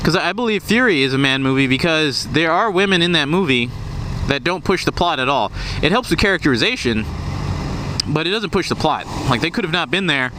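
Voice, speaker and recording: A man's voice: fairly high pitch, animated, fairly quick. A young man vlogging outdoors, rambling casually about movies with profane jokes. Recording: loud road and vehicle noise.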